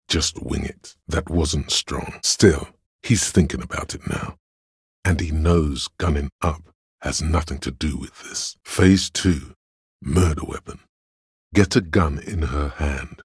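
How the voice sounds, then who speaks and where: Very deep, raspy voice of logic. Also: male voice, no room sound, full character voice. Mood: coolly coaching. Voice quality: very deep, gravelly rasp. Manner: measured, deliberate, analytical, dry. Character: calm, cerebral voice of Logic inside a detective's mind. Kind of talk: video game inner-voice narration.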